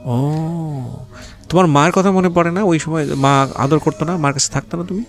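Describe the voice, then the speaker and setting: A man's voice: on the high side, moderate pace, soft background music. A man with a Bangladeshi accent hosting an interview, speaking informally and asking probing, prodding questions. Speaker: radio show host interviewing guests.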